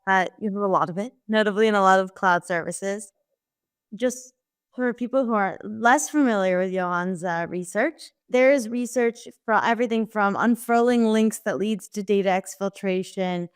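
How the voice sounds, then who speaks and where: A woman's voice: mid-range voice, moderate pace, smooth, no echo, studio quality. A woman co-hosting a podcast, asking a guest direct, upbeat questions. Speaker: podcast co-host.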